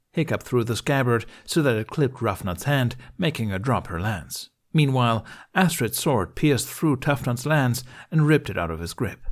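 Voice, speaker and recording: A man reading a scripted story aloud as its narrator. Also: mid-range voice. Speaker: amateur story reader.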